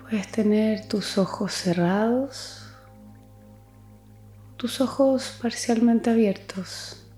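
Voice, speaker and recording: A woman leading a guided meditation, speaking gently and soothingly in a soft voice with long pauses. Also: a little high, reverberant. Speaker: psychologist guiding meditation. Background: music.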